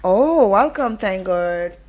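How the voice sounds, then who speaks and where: A woman with a Nigerian accent hosting a radio show in an expressive, conversational way, asking rhetorical questions. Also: mid-range voice, slow pace, very noisy. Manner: expressive, rhetorical questions, some Pidgin phrases. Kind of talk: conversational radio presenting with storytelling.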